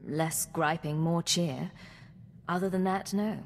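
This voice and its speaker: Game lead: composed imperial agent, slightly low female voice, cool, dry delivery in a long hall reverb. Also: fairly even intonation, slow, slight background noise. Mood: businesslike. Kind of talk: video game voice acting.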